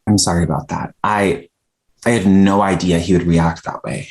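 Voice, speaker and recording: Man playing an earnest, low-voiced poet. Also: steady tone, normal speed, a little mellow. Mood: apologetic. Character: earnest male poet, opinionated yet apologetic and polite. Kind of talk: screenplay table read.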